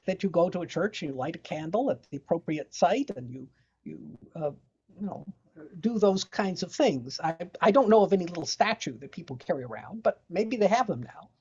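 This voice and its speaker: A woman explaining something casually in a hesitant, halting way. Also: fairly low pitch, darkish tone, slightly rough. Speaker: panelist. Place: indoor room, video call. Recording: dull video-call sound.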